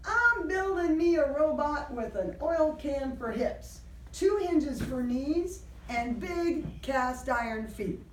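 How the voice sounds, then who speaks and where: A woman teacher reading a picture book aloud to young children, animated and encouraging, stopping for simple questions and explanations. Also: high voice, slow. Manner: animated, encouraging, acts out sound effects. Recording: roomy, distant mic, faint background noise.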